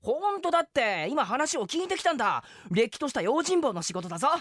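A man playing a casual, excitable young swordsman-for-hire in a comedic period radio drama. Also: very high voice, fast, no echo, studio quality, heavily acted. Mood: excited. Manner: casual slangy speech, excitable.

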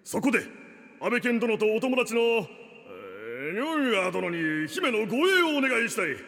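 A man's voice: high-pitched, big pitch swings, normal speed, gravelly, sharp tone, big-room sound, heavily acted. A man playing an earnest middle-aged samurai retainer who speaks solemnly in archaic period-drama style. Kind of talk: comedic period radio drama acting.

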